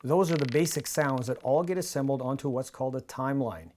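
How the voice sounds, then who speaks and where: A man in his fifties with a North American accent presents a scripted behind-the-scenes explainer in a measured, clear manner. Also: mid-range voice, very noisy. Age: 50s. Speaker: radio drama director and presenter. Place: small indoor studio office with monitors. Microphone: lavalier on shirt.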